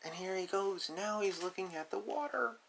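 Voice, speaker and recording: A man's voice: sits high, moderate pace, creaky, fairly bright tone, slight background noise, poor audio. A man reading a picture book aloud to young children, adding playful, simple explanations in casual asides.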